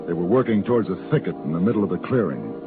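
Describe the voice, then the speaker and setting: An actor playing a cool, self-assured gunfighter in a 1950s Western radio drama, speaking in a measured, polite way. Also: male voice, deep voice, sing-song. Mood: reflective. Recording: old tinny radio recording with music.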